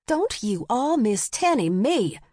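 A woman voicing a fed-up frontier woman who scolds unruly boys in stern, folksy yells, in an audiobook story. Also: fairly high pitch, big pitch swings, slow pace, slightly rough, no echo, studio quality, voice pushed up, full character voice. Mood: exasperated. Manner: stern yelling, folksy phrasing.

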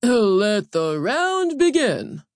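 Woman voicing a booming, pompous male arena overseer. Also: big pitch swings, drawn-out words, dry recording, studio quality, exaggerated voice. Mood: proclaiming. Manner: declamatory, grandiose intoning. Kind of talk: audiobook character voice.

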